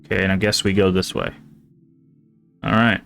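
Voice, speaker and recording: A man's voice: sits low, little pitch movement, moderate pace, vocal fry, a bit bright, soft background music. A man streaming a horror game, thinking aloud and reading in-game items and notes as he plays. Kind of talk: casual gameplay commentary.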